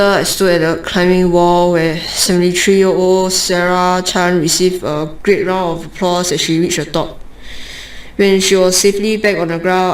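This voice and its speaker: Female student reader, flat intonation, slightly low pitch, slurred articulation, halting read-aloud delivery. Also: poor audio. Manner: monotonous, hesitant, stumbling over words. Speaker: student exam candidate. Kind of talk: reading a passage aloud.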